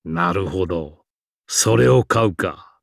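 A man's voice: deep voice, lively intonation, slow pace, creaky, a bit bright, no echo, full character voice. A grand old king from a fantasy game. He speaks in a stately, archaic manner, proud yet genial. The sound is dry and clean. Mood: approving, genial. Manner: stately, archaic, self-satisfied. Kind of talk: Japanese game character voice acting.

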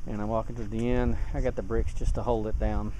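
A man's voice: on the low side, level tone, a little fast, dark tone, low-quality recording. A man giving a casual, plain-spoken hands-on tutorial as a hobbyist demonstrator, recorded outdoors on a handheld camera. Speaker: DIY radio hobbyist demonstrator. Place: outdoors in a yard. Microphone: handheld camera mic. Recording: outdoor handheld camera, clear background noise.

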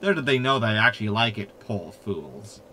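A pompous, mischievous hologram acted by a man in a sci-fi radio comedy. The voice is sharp and very bright, swinging lively in pitch with sarcastic flourishes, over faint background noise. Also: fairly quick. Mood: smug. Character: sardonic, know-it-all male hologram, proud and mischievous. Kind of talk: sitcom audio drama acting.

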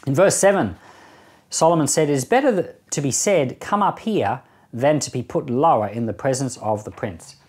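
A middle-aged man speaking as a pastor in a measured, explanatory way, reading and explaining scripture. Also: medium pitch, animated, a little mellow, slightly rough. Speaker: pastor, Bible podcast presenter. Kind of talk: Bible reading and informal commentary. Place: small indoor meeting room, seated.

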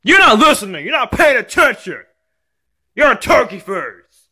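A man voicing a bratty, defiant young son in an amateur skit, whining and shouting in angry, petulant tantrums. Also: very animated, moderate pace, breathy edge, heavily acted. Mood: shouting, indignant. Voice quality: high-pitched, loud. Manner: whiny, shouting, petulant name-calling.

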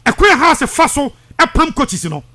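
High-pitched, forceful Ghanaian sports presenter, male. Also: very animated, measured pace. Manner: emphatic, insistent, repetitive. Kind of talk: heated sports commentary, switching between languages. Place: small radio studio. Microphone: studio microphone close up.

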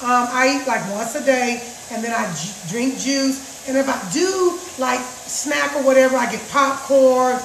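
A middle-aged woman with a raspy voice chatting casually to her viewers about juicing, recorded in her home kitchen on a phone. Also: high-pitched, a little slow, few pauses, slight background noise. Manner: chatty, repeats herself, addresses viewers as guys. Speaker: juice vlogger. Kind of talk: casual live chat. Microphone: phone camera across the counter. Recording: roomy kitchen echo.

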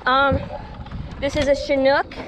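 A man's voice: very high voice, monotone, a little slow. A teenage boy filming himself fishing from a small boat, chatting casually to his viewers and explaining his gear. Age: teens. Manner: chatty, keeps addressing viewers as guys. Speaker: fishing vlogger. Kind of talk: casual on-camera fishing vlog. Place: small open boat on foggy open water. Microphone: action camera on the boat. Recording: loud engine and water noise outdoors. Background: loud boat engine and water.

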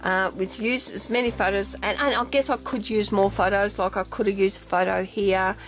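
A woman's voice: mid-range voice, steady tone, moderate pace, soft background music, rough recording. A woman chatting casually on camera as she shows her craft album, with lots of ums and "okay?" checks. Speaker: craft vlogger. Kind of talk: casual show-and-tell craft video. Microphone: camera mic at a tabletop, hands only in shot.

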